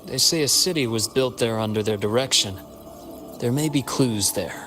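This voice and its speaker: Male actor as shrewd calm investigator guy in a game, measured talk, middling pitch, loud music under it. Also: close to an everyday voice. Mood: measured, advising. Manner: measured, deliberate, articulate. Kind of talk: scripted game dialogue.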